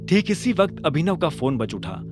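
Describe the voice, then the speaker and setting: A man's voice: a little high, big pitch swings, normal speed, music in the background. A man narrating a scripted audio drama story in a dramatic style.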